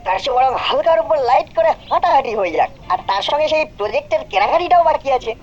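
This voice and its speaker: A woman's voice: high voice, expressive, quick pace, a little mellow. A woman voicing a whiny, food-loving ten-year-old boy in a cartoon. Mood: questioning. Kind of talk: exaggerated cartoon voice acting. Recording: dull band-limited sound, faint music.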